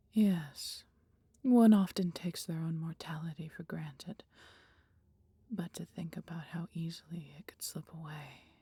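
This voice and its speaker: Woman acting as a female dragon, husky whisper, dark mellow tone, tender, regal, protective, intimate fantasy audio roleplay. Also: sits low, dry recording, full character voice. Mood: reflective. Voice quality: breathy, whispery. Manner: slow, old-fashioned, gently formal endearments.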